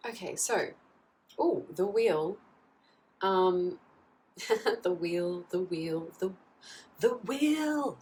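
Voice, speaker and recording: Woman, husky post-flu voice, lowish pitch, chatty reader, faint noise behind. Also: animated, very slow, pauses often, a little mellow. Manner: chatty, often checks in with "okay?". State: husky, getting over a flu. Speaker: tarot reader. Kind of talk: casual tarot reading to camera. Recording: slightly distant mic, faint background noise.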